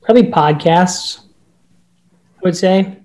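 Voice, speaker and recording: High-voiced man giving emphatic advice. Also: takes it slowly, a little mellow. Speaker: real estate agent guest. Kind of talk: casual podcast interview.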